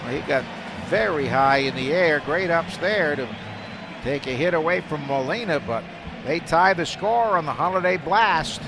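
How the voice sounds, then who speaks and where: An American man calling live baseball play-by-play on radio, excitable on big plays and conversational between pitches. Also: moderate pace. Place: ballpark broadcast booth. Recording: clear stadium noise behind the voice.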